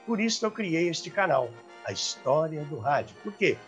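A man's voice: fairly high pitch, sing-song, moderate pace, fairly bright tone, faint music behind. A man in his seventies with a Brazilian accent, hosting with theatrical, emphatic storytelling to camera. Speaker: host and storyteller. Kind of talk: animated anecdotal storytelling to camera. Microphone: clip-on lapel mic.

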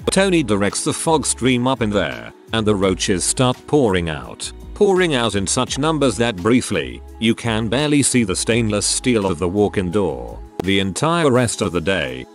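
Lively low-voiced male story reader. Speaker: narrator reading posts. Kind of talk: scripted narration of forum stories. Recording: narration over faint background music.

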